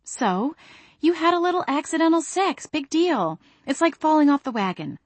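A woman voicing a blunt, protective young female best friend in an audiobook, with a brisk, teasing manner. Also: sits high, very animated, no room sound, voice pushed up. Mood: brisk, reassuring.

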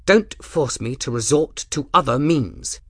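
A man's voice: medium pitch, lively intonation, a little slow, fairly bright tone, husky, dry recording, studio quality, pitched above the performer's own voice, heavily acted. A man voicing an arrogant, sneering male commodore who threatens and belittles. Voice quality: sneering, contemptuous.

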